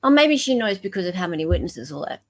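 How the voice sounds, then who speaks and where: A woman with an Australian accent co-hosting a casual discussion of legal emails, explaining things in a halting, self-correcting way. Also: lowish voice, sing-song. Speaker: podcast co-host.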